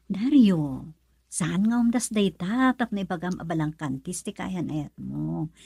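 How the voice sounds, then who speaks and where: A woman's voice: fairly low pitch, unhurried, gravelly, husky, no room sound, full character voice. An actress playing an emotional young woman, a questioning close friend, with a Filipino accent in a radio drama. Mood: earnest, urging. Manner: expressive, probing with many questions.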